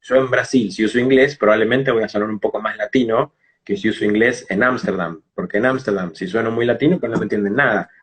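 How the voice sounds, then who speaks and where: A man's voice: fairly low pitch, fast, slightly rough. A man with a Rioplatense Argentine accent, speaking as an English teacher in an interview, explaining things didactically with everyday examples. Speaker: English teacher and phonetics specialist being interviewed.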